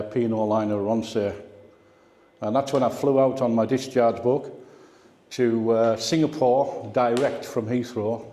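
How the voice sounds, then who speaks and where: An older man speaks as a guest at a club, in an informal, conversational style. His voice is soft-edged and mellow, at a middling pitch. The room is very echoey, with a long reverberant tail around his words. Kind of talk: informal slide talk with personal reminiscence. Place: indoor club meeting room.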